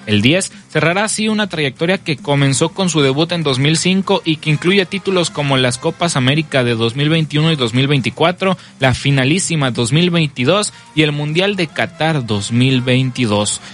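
A man reading a scripted sports news bulletin as the anchor, in a brisk, measured newsreader delivery with time checks. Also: a little high, animated, fairly bright tone.

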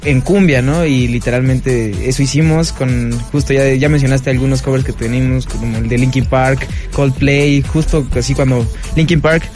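Man, band member, casual slang, mid pitch, faint music. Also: fairly even intonation, moderate pace, hardly any pauses, darkish tone. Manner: casual, slangy, rambling explanation. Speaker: cumbia band member, guest.

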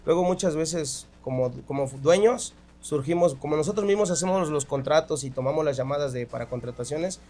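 A man's voice: fairly high pitch, a little fast, a little mellow. A middle-aged man, a band leader giving long, earnest explanations in a radio interview. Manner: earnest, explains at length, occasional laughs.

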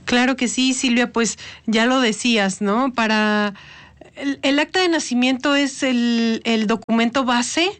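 Woman, Mexican accent, radio guest, explanatory, repeats words, slightly high pitch. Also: measured pace, fairly bright tone, clean tone. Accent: Mexican Spanish. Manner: explanatory, repeats words as she goes, "¿no?" tags. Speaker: studio guest, government official. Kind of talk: radio interview.

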